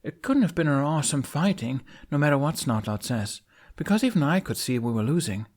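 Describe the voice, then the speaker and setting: Man voicing a high-pitched, tough young Viking woman. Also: animated, fairly quick, a little mellow, lightly acted. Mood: mildly exasperated. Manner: casual, a little exasperated. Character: tough, casual young female Viking dragon rider.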